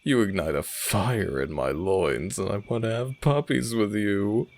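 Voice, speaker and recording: A man playing a smug, flirtatious male werewolf alpha with a low gravelly voice, in a slow, teasing, theatrical romantic audio roleplay. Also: a little mellow. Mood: mock swooning.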